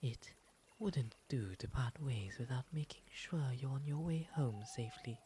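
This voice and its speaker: A man voicing a gentle, refined owl demon in an ASMR roleplay, with soft, breathy, soothing, formally polite speech. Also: mid-range voice, lightly acted. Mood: fond, courteous. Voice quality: soft, breathy, often whispery. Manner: soothing, genteel, unhurried formal phrasing. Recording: noticeable ambient background noise.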